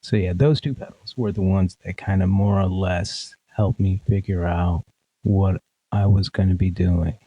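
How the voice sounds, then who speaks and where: Laid-back guy, sleepy nasal voice, dark mellow tone, dry close sound, lots of ums. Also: deep voice, measured pace, slightly rough, dry recording, dull audio. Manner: relaxed, many fillers and restarts. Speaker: guest, pedal demo creator. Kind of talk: casual interview.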